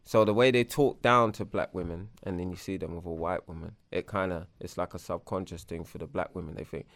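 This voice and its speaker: Low-voiced man explaining earnestly. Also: fairly even intonation, a little fast, a bit bright, no room sound. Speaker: podcast co-host. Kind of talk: casual banter among friends.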